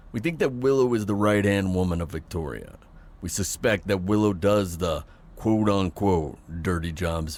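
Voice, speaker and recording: A man playing an authoritative police chief in an audio roleplay, speaking in a measured, formal, matter-of-fact briefing style. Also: sits low, darkish tone.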